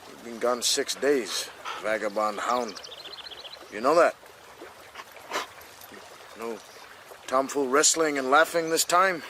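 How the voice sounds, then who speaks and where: An actor playing a wry, hearty gold prospector in a naturalistic radio drama, by turns understated and exuberant. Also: male voice, medium pitch, slow, pauses often, bright, forceful tone, slight background noise. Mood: gruffly fond.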